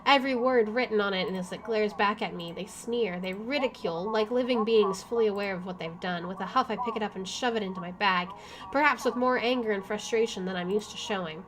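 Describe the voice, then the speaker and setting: A woman's voice: mid-range voice, fairly quick, noisy background. A woman doing playthrough commentary and reading game text aloud, with a playful, wisecracking manner. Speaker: video game let's player.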